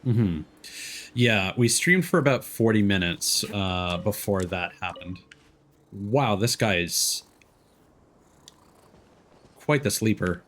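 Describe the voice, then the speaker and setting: A man's voice: fairly low pitch, lively intonation, slow, pauses often, a bit bright. A man chatting casually as he hosts a game livestream, rambling and thinking aloud. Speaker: streamer and host. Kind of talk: casual livestream gameplay chat.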